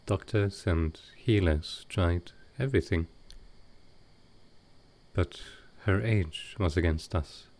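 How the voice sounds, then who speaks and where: A man playing a gentle, grieving vampire lord in an intimate audio roleplay, speaking tenderly and with some hesitation. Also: low-pitched, slow, pauses often. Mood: sorrowful, reflective. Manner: soft-hearted, hesitant, tender.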